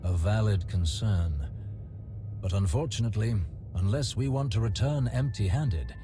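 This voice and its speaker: A man's voice: fairly low pitch, moderate pace, over music, lightly acted. A man playing a shrewd Imperial companion in a video game, articulate and dry. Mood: dry, wary. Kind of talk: dramatic video game acting.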